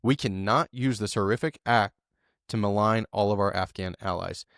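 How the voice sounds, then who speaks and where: A man's voice: fairly low pitch, moderate pace, a bit bright, dry recording, clean studio sound. A man co-hosting a podcast, talking through the news casually with lots of fillers and tag questions. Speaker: podcast co-host.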